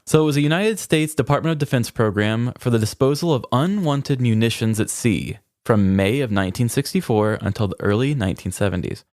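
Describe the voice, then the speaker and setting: A man's voice: mid-range voice, expressive, brisk, few pauses, a little mellow, dry recording, studio quality. A man co-hosting a podcast in casual chat, with an informal, joking way of talking. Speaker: podcast co-host.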